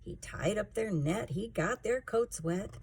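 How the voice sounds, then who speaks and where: A woman's voice: medium pitch, lively intonation, a little slow, darkish tone, slight background noise. A woman reading a rhyming children's story aloud in a theatrical, emphatic way. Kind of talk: expressive rhyming storybook narration.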